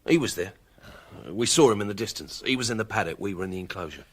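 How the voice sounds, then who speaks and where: A British actor playing a wary, loyal small-time crook in a radio drama, plain-spoken, defensive and uneasy. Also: male voice, lowish voice, lively intonation, fast talker, husky. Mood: guarded.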